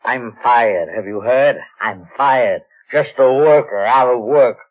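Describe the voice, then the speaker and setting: An actor playing a drunk, aggrieved beekeeper who rambles and repeats himself in a 1940s radio drama. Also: male voice, mid-range voice, slow. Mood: tipsy, aggrieved. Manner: slurred, repetitive, rambling drunken talk. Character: tipsy, sacked male beekeeper, rambling and aggrieved. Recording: muffled, band-limited old radio recording.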